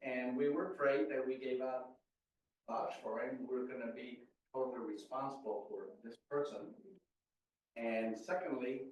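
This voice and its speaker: A man's voice: mid-range voice, steady tone, moderate pace, a little hiss or hum. An older Mexican-American man with a Mexican Spanish accent, telling family stories at a library talk with many hesitant fillers. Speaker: guest speaker. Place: large room at a public library. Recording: echoey room, far from mic, dull.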